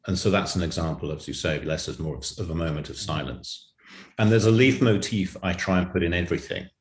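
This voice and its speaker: Male guest, very low pitch, echoey room, anecdotal interview talk, writer-director explaining his craft. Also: fairly quick.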